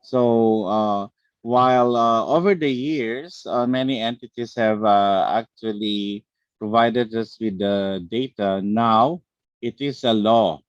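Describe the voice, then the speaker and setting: A man with a mid-range voice who speaks very slowly and deliberately, explaining step by step to an online panel. The audio is dry and a bit muffled, as from a lo-fi video call. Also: lowish voice, clear, resonant tone, no room sound. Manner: measured, deliberate, tags points with "okay". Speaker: panel expert.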